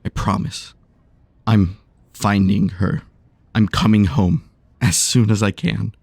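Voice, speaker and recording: A man's voice: lowish voice, level tone, slow pace, vocal fry, a little mellow, slightly rough, no echo, exaggerated voice. A man playing a determined, earnest superhero in a fan-made radio play. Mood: tender, resolute. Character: weary, determined, earnest male alien superhero.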